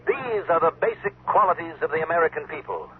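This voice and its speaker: Male actor as smooth vain politician, privately snobbish, expressive range, tinny noisy old radio recording. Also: fairly high pitch, fairly quick, natural voice. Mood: earnest oratory. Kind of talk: radio drama acting.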